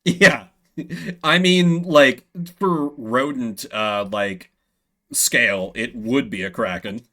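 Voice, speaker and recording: A man doing casual live gameplay commentary as a streamer, thinking out loud in an analytical way. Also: medium pitch, slow. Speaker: game streamer.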